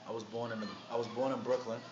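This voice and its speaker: New york rapper dude chatting in an interview, muffled sound with clear background noise. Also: male voice, mid-range voice, flat delivery, quick pace, a little mellow. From Harlem, New York City. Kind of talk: casual interview. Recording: noisy, muffled, echoey room recording.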